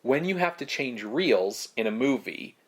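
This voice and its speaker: A man co-hosting a podcast, narrating prepared film history in measured phrases with casual asides. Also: medium pitch, animated. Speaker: podcast co-host.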